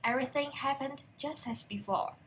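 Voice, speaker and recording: A woman's voice: high-pitched, normal speed, fairly bright tone, slight background noise, low-quality recording. A young female reader narrating a fairy tale aloud from a book. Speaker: storybook reader.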